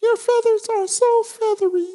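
A man voicing an excitable, dramatic little female bird in a children's storybook. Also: extremely high pitch, moderate pace, pitched above the performer's own voice. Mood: sweet, cooing. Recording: reverberant hall-like room echo.

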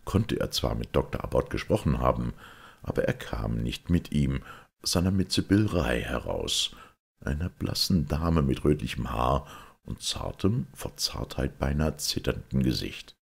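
A man reading a detective story aloud as an audiobook narrator, expressive and measured, with a gravelly, husky voice. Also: extremely low pitch. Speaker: audiobook reader.

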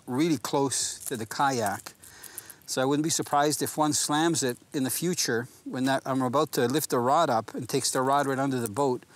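A man in his sixties hosting a fishing show, explaining technique from a kayak on a lake. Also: mid-range voice. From Canada. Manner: relaxed and explanatory, easily excited by a catch. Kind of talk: casual outdoor fishing commentary.

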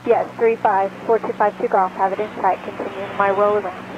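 A woman's voice: medium pitch, little pitch movement, fairly quick, music in the background. A woman pilot giving brief readbacks over an aircraft radio. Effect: aircraft radio transmission. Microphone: aircraft radio microphone. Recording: muffled, noisy cockpit radio.

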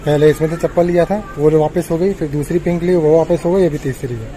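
A man's voice: on the high side, steady tone, fast talker, mellow. A man chats casually to his vlog in a teasing, mock-complaining way. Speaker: family vlogger. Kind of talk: casual handheld vlog chat in Hindi. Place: busy indoor shopping mall. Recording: noisy crowded mall, background chatter.

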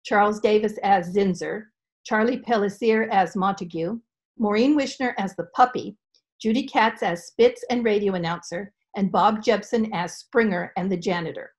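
Older woman, radio announcer, scripted reading, average pitch, home video call. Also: moderate pace. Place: home room with bookshelves. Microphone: video call computer mic.

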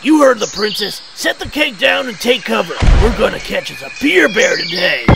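A man doing a high, creaky, eager monster hunter. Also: big pitch swings, normal speed, exaggerated voice. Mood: excited, commanding. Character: eager, protective monster hunter, regent to a princess. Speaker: voice actor. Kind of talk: amateur audio drama dialogue. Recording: music over the voice.

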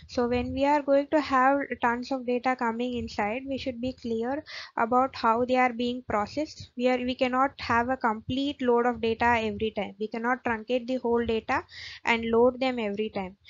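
Woman, tutorial voice-over, fairly high voice, filler-heavy explaining, dry dull recording. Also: no room sound. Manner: steady explanatory talk with frequent "okay" and "uh" fillers. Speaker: data engineering tutor. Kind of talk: explaining interview questions over slides.

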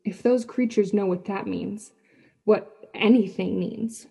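Woman acting a husky field radio reporter. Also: mid-range voice, fairly even intonation, takes it slowly, mellow, full character voice. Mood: tense, watchful. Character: female on-the-spot radio news reporter at a disaster.

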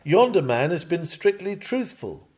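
A man reading an old Victorian sermon aloud in an earnest, measured, preacherly manner. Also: high-pitched, sing-song, darkish tone. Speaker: sermon reader.

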